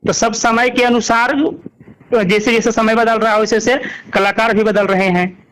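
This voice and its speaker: A man's voice: high-pitched, level tone, moderate pace, a bit bright, a little hiss or hum, rough recording. A man with an eastern Indian Bhojpuri-belt accent answering as a singer in an informal interview, emphatic and repeating himself. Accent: Bhojpuri-Magahi belt Hindi, eastern India.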